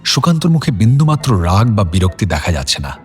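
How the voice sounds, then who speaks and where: A man's voice: fairly low pitch, sing-song, a little fast, soft background music. A man narrating a scripted suspense story in a dramatic storytelling style.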